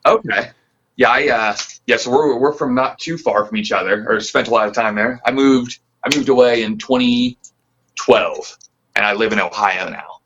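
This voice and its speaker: A man's voice: medium pitch, moderate pace, fairly bright tone, dull audio, a little hiss or hum. A man from the Pacific Northwest, a band member, chatting as a guest in a loose, rambling, earnest way. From Pacific Northwest, near Tacoma. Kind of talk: casual interview chat.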